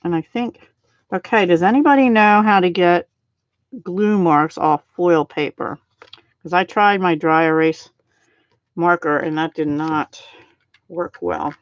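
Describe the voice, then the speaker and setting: A middle-aged woman hosting a live card-making stream, talking softly and thinking aloud as she works and chats with viewers. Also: lowish voice, measured pace, pauses often. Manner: soft-spoken, thinks aloud while working. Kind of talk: casual live craft demo, chatting with viewers. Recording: dry, slightly dull home stream audio.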